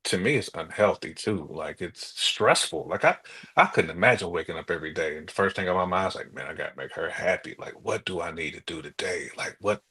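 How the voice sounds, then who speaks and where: Husky, deep-voiced American man chatting casually. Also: lively intonation, brisk, pushed and bright. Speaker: podcast co-host. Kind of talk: casual podcast conversation.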